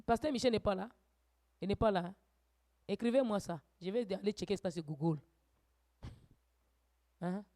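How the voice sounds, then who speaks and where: A woman preacher teaching a church sermon, swinging from quiet asides to loud, urgent exhortations. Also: medium pitch, moderate pace, pauses often.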